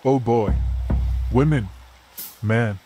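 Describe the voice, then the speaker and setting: A man's voice: mid-range voice, very slow. An actor voicing a jovial working-class male beaver in a noir game, rambling like a folksy storyteller. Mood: amused storytelling. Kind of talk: noir video game voice acting. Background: music.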